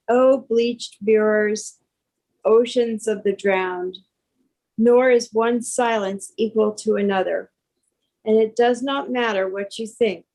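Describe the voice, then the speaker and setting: Woman reading poetry, bit high pitched, slow, short careful phrases. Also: darkish tone, low-quality recording. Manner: deliberate, short separated phrases. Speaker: poetry reader. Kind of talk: poetry recitation.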